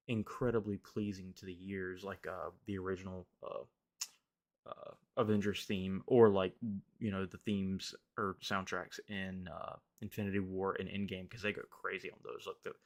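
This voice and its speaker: Low-voiced, slightly hoarse young man. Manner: rambling, filler-heavy, frequent restarts. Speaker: movie reviewer. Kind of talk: casual solo review.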